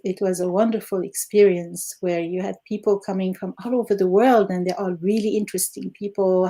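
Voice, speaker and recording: A woman in her sixties from Algeria, a native Arabic speaker, answering interview questions as a guest with frequent laughs and fillers. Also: medium pitch. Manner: warm, laughs often, fillers. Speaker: guest, retired Arabic teacher.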